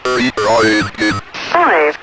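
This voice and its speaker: An automated machine voice reading out transmission notices in a cold, impersonal, halting robotic manner. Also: medium pitch, loud music behind the voice, heavily acted. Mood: impersonal, emotionless. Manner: flat, halting, robotic phrasing. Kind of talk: automated scripted announcement.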